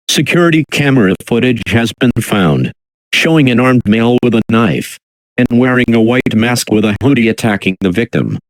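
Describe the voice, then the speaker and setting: A man reading a scripted emergency alert as an announcer, in a measured, official, impersonal manner. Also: medium pitch, no room sound, rough recording. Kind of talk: formal scripted emergency broadcast.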